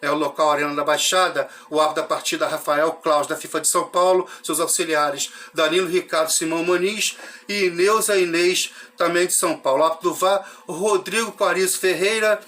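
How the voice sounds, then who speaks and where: A man, hoarse with a dry cough, recapping a football match casually for fans and tagging his sentences with questions. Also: on the high side, fairly even intonation, fairly quick, pushed and bright. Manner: chatty, frequent "né?" tag questions. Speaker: football channel host.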